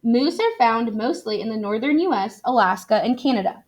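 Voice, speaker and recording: Female teacher, slightly high pitch, reading aloud and explaining clearly to young children. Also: animated, normal speed. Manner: explanatory, addressing young learners. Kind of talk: expressive read-aloud for children.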